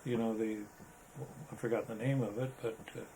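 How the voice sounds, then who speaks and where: An older American man reminiscing casually in an interview, halting and rambling as he talks. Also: fairly low pitch, level tone, dark tone, slight background noise, poor audio. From Arizona, United States. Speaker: interviewee, veteran photographer. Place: indoors, photographer's studio.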